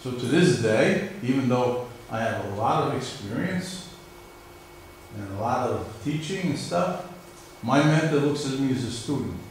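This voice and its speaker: A man's voice: medium pitch, animated, measured pace. A middle-aged male professor with a New York area American accent, giving a conversational university lecture and quizzing his class. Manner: conversational, questions the class and answers himself. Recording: very echoey room, mic far off, faint hiss.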